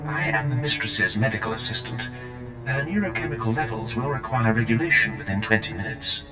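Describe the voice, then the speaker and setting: An actor voicing a formal, clinical medical assistant droid in a video game. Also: male voice, on the low side, fairly even intonation, brisk, natural voice. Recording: echoey, distant, with loud music.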